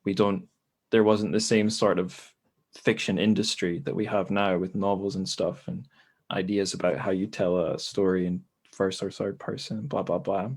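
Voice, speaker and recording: A man's voice: low voice, little pitch movement, normal speed, a little mellow. A man hosting a casual podcast panel, thinking aloud in a conversational way. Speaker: podcast host.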